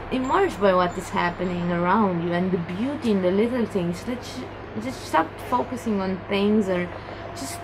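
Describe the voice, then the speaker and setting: A young woman from Romania with a Romanian accent, chatting through a casual book review in halting English with many restarts. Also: medium pitch. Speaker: book vlogger. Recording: clear background hum.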